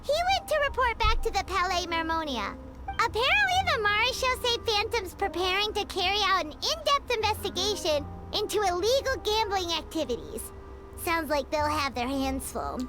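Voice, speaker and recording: A woman voicing a small, chirpy, childlike fairy companion in a video game, bubbly and chatty with a squeaky voice. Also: very animated, moderate pace, over loud music. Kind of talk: animated game character dialogue.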